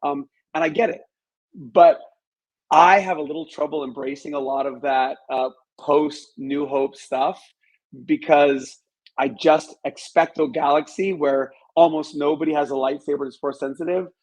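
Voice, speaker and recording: A man speaks as a guest in a conversational interview. His voice sits a little high for a male and has a husky grain. He lays out his opinions analytically and with emphasis. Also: steady tone, unhurried, a little mellow, poor audio.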